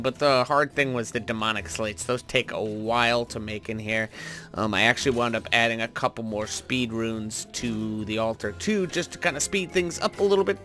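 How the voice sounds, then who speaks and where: A man's voice: medium pitch, fairly bright tone. A man hosting a gaming video, talking casually and informally as he thinks aloud while playing. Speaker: host of a gaming video series. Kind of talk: casual gameplay commentary.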